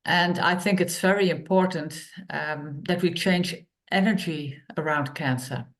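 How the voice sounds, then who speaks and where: Slow-speaking woman with a low voice. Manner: unhurried, plain phrasing with many pauses. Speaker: workshop co-host and author. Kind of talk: online workshop presentation.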